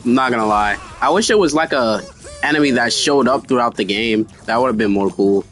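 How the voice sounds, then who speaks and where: A man's voice: mid-range voice, a little fast, a bit bright. Laid-back sniffly male streamer. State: slightly sick, sniffling. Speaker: gaming streamer. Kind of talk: casual gameplay commentary.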